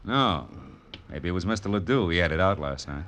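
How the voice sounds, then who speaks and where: Low-voiced hardboiled detective. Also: male voice, lively intonation, fairly quick, a bit bright. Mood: cool, guarded. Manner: terse, slangy. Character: hardboiled, wry male private detective. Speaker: lead and narrator. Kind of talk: 1940s-style radio detective narration and dialogue.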